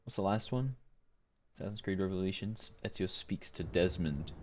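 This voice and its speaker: Man, slightly deep voice, livestreaming voice actor, informal conversational talk. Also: normal speed, slight background noise. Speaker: streamer and voice actor. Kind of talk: casual livestream chat.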